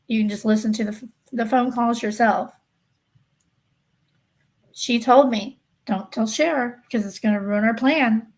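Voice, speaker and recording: Higher-voiced woman livestreamer, emphatic and chatty. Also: a little slow, long pauses, rough recording. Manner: emphatic, insistent, repeats herself for stress. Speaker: livestream host of a true-crime gossip channel. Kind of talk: casual livestream chat, replying to viewers.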